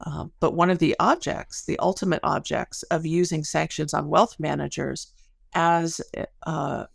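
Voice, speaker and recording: Woman, gravelly fry, articulate, analytical interview guest, close dry sound. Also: sits low, no echo. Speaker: interview guest, sociology researcher. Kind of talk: explanatory interview talk.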